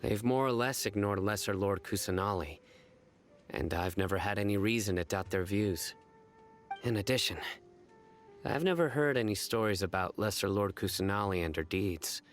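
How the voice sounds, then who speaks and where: A man playing a stern, vigilant investigator in an anime game dub, speaking seriously, formally and deliberately. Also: fairly low pitch, lively intonation, soft background music, close to an everyday voice. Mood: skeptical, measured. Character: stern, vigilant young male investigator, cool and measured.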